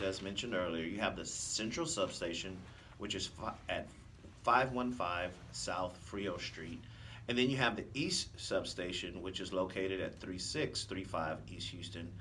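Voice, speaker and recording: An American man speaking as a police officer guest in a radio interview, explaining things in a measured, conversational way. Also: low-pitched, fairly bright tone, distant mic. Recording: faint noise, off-mic room sound.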